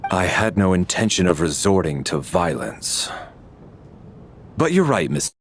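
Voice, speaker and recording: A man playing a stern, dutiful military officer in a video game, speaking in a formal, measured way. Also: low voice, sing-song, slight background noise, full character voice. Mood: restrained. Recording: background music.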